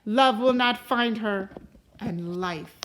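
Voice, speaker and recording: A woman's voice: mid-range voice, slow, lightly acted, low-quality recording. Woman reading as a bitter, ominous fairy godmother. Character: bitter, vengeful old fairy godmother casting a curse.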